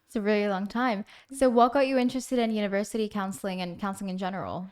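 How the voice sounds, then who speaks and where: A woman's voice: mid-range voice, steady tone, fast, darkish tone. A young woman in her late teens hosting a school podcast and asking interview questions. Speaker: student podcast host.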